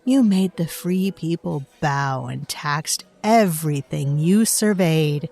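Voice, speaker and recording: A woman playing an ancient, otherworldly female storyteller in a dark fantasy audio drama, knowing and faintly scornful, confiding in the listener with deliberate delivery. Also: deep voice, creaky, faint music behind, exaggerated voice. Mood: bitter, knowing. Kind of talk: scripted dark fantasy audio fiction narration.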